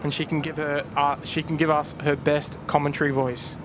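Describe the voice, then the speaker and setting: A man's voice: on the high side, little pitch movement, normal speed. A man with an Australian accent hosting a live stream, chatting casually outdoors while thanking viewers. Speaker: live stream host and plane spotter. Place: outdoors at an airport viewpoint. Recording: outdoor noise with traffic and aircraft.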